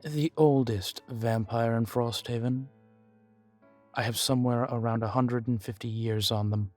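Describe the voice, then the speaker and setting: A man playing an ancient vampire in an audio roleplay, guarded, weary and grave, speaking in a measured, deliberate way with dramatic pauses. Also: mid-range voice, steady tone, a little mellow, faint music behind, natural voice. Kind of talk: scripted audio roleplay addressed to the listener.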